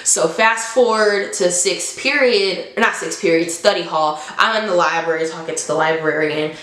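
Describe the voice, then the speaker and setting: A woman's voice: on the low side, moderate pace, a bit bright. Young woman storyteller in an echoey room. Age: young adult. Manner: conversational, slangy, dramatically re-enacts dialogue. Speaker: storytime vlogger. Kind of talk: casual animated storytelling to viewers.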